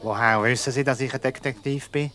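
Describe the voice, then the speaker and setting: An actor playing a shy, flustered and gullible private detective in a radio comedy, speaking hesitantly with a Swiss German accent. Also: male voice, medium pitch, steady tone, brisk, pushed and bright, natural voice. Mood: puzzled, curious. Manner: hesitant, stammering. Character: bashful, flustered, gullible male private detective, easily charmed.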